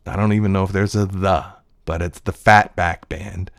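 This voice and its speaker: A man's voice: sits low, fairly even intonation, moderate pace, vocal fry, a bit bright, clear, resonant tone, no room sound, clean studio sound. A male voice-over actor talking in a casual, digressive solo monologue from his desk. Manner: digressive, reflective storytelling.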